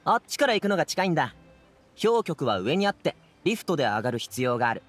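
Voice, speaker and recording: Bright-spirited, high-pitched young lad. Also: male voice, very animated, soft background music. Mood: helpful. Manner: casual, brisk, friendly boyish speech. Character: energetic, friendly, chatty young man, good-natured working lad. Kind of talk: anime game voice acting.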